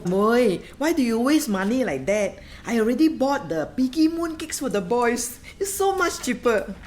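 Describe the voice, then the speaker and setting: Lively, high-voiced Singaporean housewife, acted by a woman. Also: moderate pace. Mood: chiding. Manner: lively, chiding, Singlish particles. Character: frugal, hospitable kampong housewife and mother hosting dinner. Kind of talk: radio play acting.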